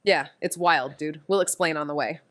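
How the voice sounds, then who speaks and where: A woman's voice: deep voice, normal speed, a bit bright, no room sound, clean studio sound. An actress playing a wisecracking young woman investigator who jokes sarcastically. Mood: playful. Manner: joking, sarcastic. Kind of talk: audio drama acting.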